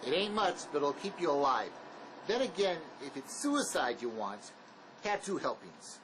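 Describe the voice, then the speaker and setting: An actor playing a gruff, hard-nosed man on the run, sarcastic and bossy, in dramatic dialogue. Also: on the high side, sing-song, normal speed, background noise. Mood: dry sarcasm.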